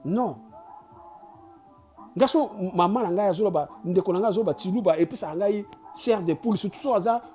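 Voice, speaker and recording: Congolese-accented channel host, earnest sermon-like talk, warm dark timbre, soft music bed. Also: mid-range voice, brisk. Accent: Congolese French. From Kinshasa, Congo. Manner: preachy and urging, with frequent restarts and stammers. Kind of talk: impassioned address mixing Lingala and French.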